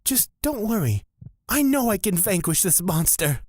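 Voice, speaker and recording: A woman's voice: mid-range voice, big pitch swings, moderate pace, darkish tone. A woman playing a sweet, serenely confident young male cleric in a fantasy audio drama, earnest and sunny.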